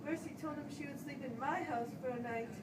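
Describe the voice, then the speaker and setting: Woman playing an anxious Puritan minister, echoey and high-pitched. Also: brisk, natural voice. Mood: nervous, hesitant. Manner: stammering, pleading, deferential. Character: anxious, distraught Puritan minister, a grown man. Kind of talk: amateur stage-play acting. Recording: echoey room, far mic, hissy noise.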